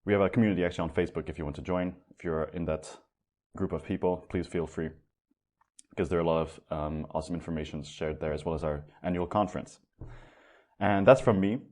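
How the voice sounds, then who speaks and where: A man's voice: low-pitched, fairly even intonation, fast, darkish tone. A young man hosting a podcast, chatting casually and upbeat, with a loose, swearing-now-and-then manner. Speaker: podcast host.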